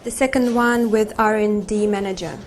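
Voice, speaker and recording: An actress playing a polite, obliging personal assistant in live-action game cutscenes. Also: female voice, mid-range voice, steady tone, normal speed, a little hiss or hum, lightly acted. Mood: polite, deferential.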